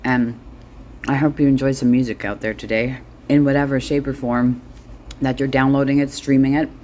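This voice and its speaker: Middle-aged woman, deep register, blunt casual comic monologue, some background noise. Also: steady tone, moderate pace, a little mellow. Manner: blunt, sweary, wry. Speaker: solo host.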